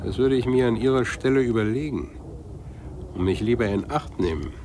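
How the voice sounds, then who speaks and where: A man's voice: mid-range voice, lively intonation, moderate pace, a little mellow, close to an everyday voice. Patronising businessman on noisy old radio, an actor's role. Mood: mocking, threatening. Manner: patronising, suave, mocking. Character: condescending, smooth, menacing male business magnate. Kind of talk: 1950s German radio play acting. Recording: noisy vintage 1950s radio recording.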